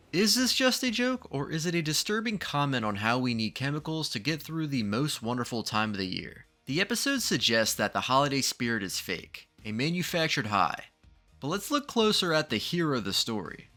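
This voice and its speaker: A man's voice: medium pitch, sharp tone. A man narrating a scripted video essay in a dramatic, ominous true-crime manner, posing rhetorical questions. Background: background music.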